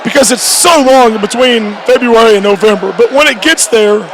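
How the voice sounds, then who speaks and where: A man, a high school basketball coach, giving a postgame radio interview with repeated phrases and halting restarts. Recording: noisy gym with loud crowd behind. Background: crowd and clear background noise.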